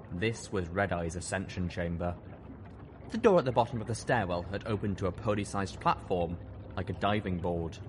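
A man's voice: low-pitched, noisy background. A man reading scripted first-person fiction as an audiobook narrator.

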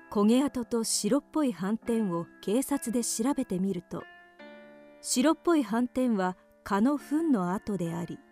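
Female narrator for a radio horror drama, higher-pitched, faint music behind. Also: a little mellow.

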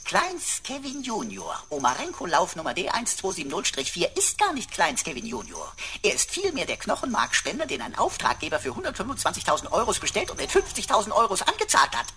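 A woman's voice: mid-range voice, wildly swooping pitch, brisk, sharp tone, a little hiss or hum. A woman playing a cheeky, wordy talking computer in a sci-fi radio play, pompous and mock-servile with playful wordplay.

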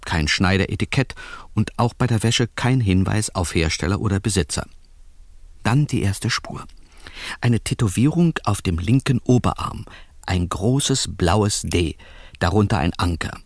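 A deep-voiced wry reporter narrator. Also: male voice, expressive, unhurried, dry recording, studio quality. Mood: wry, measured. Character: wry male New York newspaper reporter narrating a case. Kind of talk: scripted first-person radio play narration.

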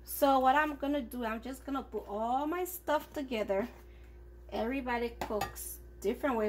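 An upbeat, chatty woman talks her viewers through a home cooking session. Her voice sits a little high, and she is picked up by a phone camera aimed at the stove. A faint hum from the kitchen sits underneath. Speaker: cooking vlogger. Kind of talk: casual cooking chat.

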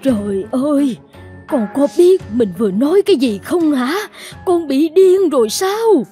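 A woman plays a gentle middle-aged cartoon mother, full of worry. Her high voice is soft-edged and mellow, pleading earnestly over light background music. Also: animated, a little slow. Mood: shocked. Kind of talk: animated cartoon drama dialogue. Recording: music behind the voice.

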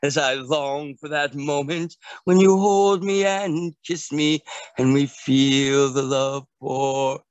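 A man's voice: fairly high pitch, slow, dry recording, highs cut off. A middle-aged man performing his song lyrics in a lilting, half-sung recitation on a video call from outdoors in a garden. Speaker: performer at an open mic. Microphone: video call from a handheld device.

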